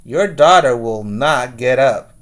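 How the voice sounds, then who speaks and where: A man's voice: medium pitch, lively intonation, slow, a bit bright. A man voicing a stern, exasperated father from a children's storybook, firm and emphatic.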